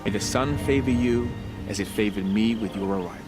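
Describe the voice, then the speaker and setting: A man playing a low-voiced, earnest sun priest. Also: fairly quick, over music, lightly acted. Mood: earnest, reflective. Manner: thoughtful, formal, blessing. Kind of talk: video game voice acting.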